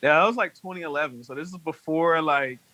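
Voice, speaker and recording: A man in his late twenties, a podcast guest, telling stories in a rambling, animated way, full of "like". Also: fairly high pitch, quick pace. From North Carolina. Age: 20s. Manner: rambling, heavy use of "like", animated storytelling. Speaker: podcast guest, music manager. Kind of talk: casual chat.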